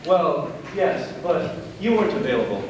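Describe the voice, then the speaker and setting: Stage comedy role: sarcastic, tired middle-aged brother played by a young man, higher-pitched, heard from far off in a noisy hall. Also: sing-song, normal speed. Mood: placating. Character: weary, sarcastic middle-aged brother, placating but needling. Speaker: actor in a school play. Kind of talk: live amateur stage comedy acting. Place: large indoor stage in an auditorium. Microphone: distant camera microphone. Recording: echoey auditorium from far off, noisy.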